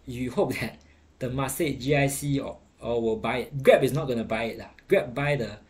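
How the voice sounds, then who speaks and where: A middle-aged Singaporean man hosts a casual livestream, talking through viewers' comments. His voice sits at a medium pitch, and he speaks in a loose, colloquial way with local particles at the ends of phrases. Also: moderate pace, a little mellow. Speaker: livestream host, stock investing commentator. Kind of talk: casual livestream chat, reading and answering comments. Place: small indoor room at a computer.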